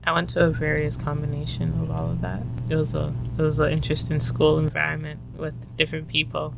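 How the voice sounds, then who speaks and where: A woman's voice: low voice, monotone, fast talker, vocal fry, noisy background. A woman with a Canadian accent, chatting casually as a participant in a group discussion. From Toronto, Canada. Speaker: panel participant.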